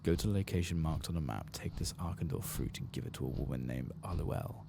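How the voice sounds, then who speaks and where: Casting: man with extremely low, gravelly voice, soft-spoken ASMR game streamer, clear background noise. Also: fast talker. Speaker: streamer playing a fantasy game.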